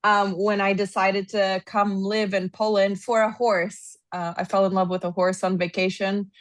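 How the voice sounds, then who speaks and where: A woman in her thirties from Los Angeles with an American accent, chatting as an interview guest, animated and laughing often. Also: medium pitch, normal speed, no echo. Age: 30s. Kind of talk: casual interview chat.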